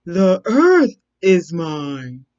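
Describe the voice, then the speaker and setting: A woman's voice: on the low side, wildly swooping pitch, very slow, a little mellow, lo-fi sound, exaggerated voice. Woman playing a bossy, swooping storybook boy frog. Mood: bossy, angry. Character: quarrelsome male frog, bossy and territorial. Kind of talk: storybook character voice.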